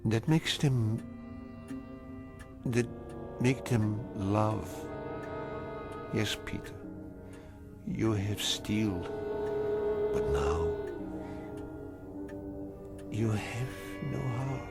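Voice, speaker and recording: An actor playing a sorrowful, reproachful mentor in a radio drama, grave, halting and deliberate. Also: male voice, lowish voice, loud music behind the voice. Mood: mournful reproach.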